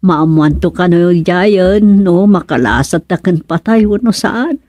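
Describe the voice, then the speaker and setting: A woman's voice: on the low side, expressive, a little slow, mellow, dry recording. An actress with an Ilocano accent playing a fussy, anxious middle-aged mother-in-law in a radio comedy drama. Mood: grave. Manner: exclaiming, fussing.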